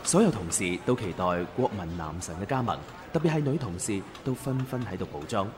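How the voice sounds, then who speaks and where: An actor as the playful, theatrical storyteller of a romantic comedy radio serial. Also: male voice, lowish voice, sing-song, a little fast, noisy background. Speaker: narrator. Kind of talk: dramatic scripted radio drama narration. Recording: music behind. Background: music.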